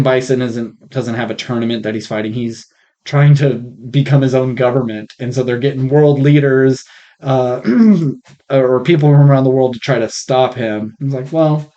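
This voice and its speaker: A man co-hosting a casual film podcast, speaking in a blunt, opinionated way with casual swearing, recorded on a rough mic. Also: medium pitch, dark tone, highs cut off. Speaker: podcast co-host.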